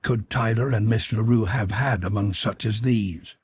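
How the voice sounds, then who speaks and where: A man playing a steadfast, tender adventure hero in an audiobook, earnest and gentle in manner. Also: lowish voice, normal speed, husky, no echo. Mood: troubled. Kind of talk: audiobook character voice.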